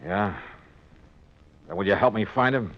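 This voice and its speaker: An actor playing a wry, insistent American private investigator in 1950s radio drama style, clipped and sardonic. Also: male voice, lowish voice, unhurried. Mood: firm, pressing. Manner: clipped, sardonic, tough-talking. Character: hard-boiled male insurance investigator, wry and insistent. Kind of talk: 1950s radio detective drama acting and narration. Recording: dull vintage radio recording, music behind.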